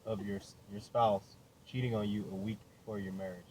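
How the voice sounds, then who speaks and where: A man's voice: on the low side, level tone, fairly quick, dark tone, low-quality recording. A man casually interviewing people, informal, often repeating and rephrasing his questions, recorded in a car. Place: inside a moving car. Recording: clear vehicle noise.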